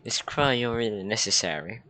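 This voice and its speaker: A man's voice: fairly low pitch, animated, moderate pace, sharp tone. A man giving casual gameplay commentary, laid-back, sometimes reading dialogue choices aloud. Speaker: gamer.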